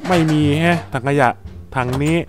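Excitable young-sounding game host. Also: male voice, a little high, normal speed, over music. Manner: excitable, exclamatory. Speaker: gaming channel host and voice actor. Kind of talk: voiced game story narration.

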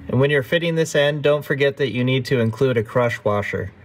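A man's voice: mid-range voice, brisk, a little hiss or hum. A man narrating a car repair step by step, practical and instructive, as the host of his own workshop videos.

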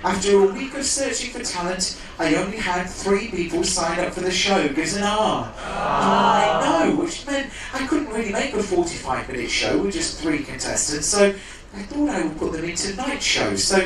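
A man's voice: high voice, lively intonation, moderate pace, fairly bright tone. A middle-aged man hosting a show on stage, addressing the crowd like a showman. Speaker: show host introducing performers. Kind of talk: stage announcing to a live audience. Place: ship show lounge stage. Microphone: handheld stage mic, heard through PA from the audience. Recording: echoey hall from far off, noisy.

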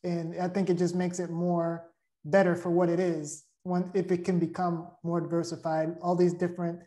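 A woman's voice: on the low side, level tone, normal speed, mellow. A woman hosting a casual interview, speaking in an appreciative, affirming and conversational way. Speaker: interview host. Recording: roomy, echoey sound.

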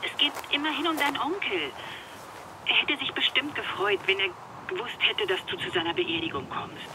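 A woman's voice: medium pitch, moderate pace, heavy background noise, natural voice. An actress playing an anxious, caring woman on a phone call in an audio thriller, worried and questioning.

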